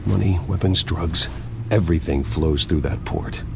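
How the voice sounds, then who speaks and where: An actor playing a brooding, terse billionaire vigilante in a video game, gravelly and dryly sarcastic. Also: male voice, low voice, normal speed, background noise. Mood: dry, guarded. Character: brooding billionaire vigilante detective, adult man, terse and dry.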